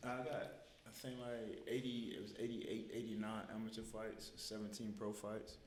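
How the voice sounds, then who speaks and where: A man who boxes professionally chats as a guest, loose and jokey, in relaxed casual phrasing. Faint background noise sits under his voice. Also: fairly low pitch, normal speed. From Fort Myers, Florida, US. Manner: joking, easygoing, casual phrasing.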